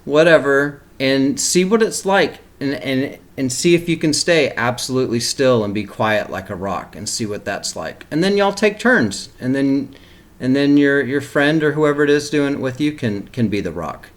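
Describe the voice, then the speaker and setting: Man, children's storybook read-aloud, very animated pitch, mid-range voice, explanatory teacher manner. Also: moderate pace. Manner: expressive, explains pictures and words to young children. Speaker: kindergarten teacher reading a picture book aloud.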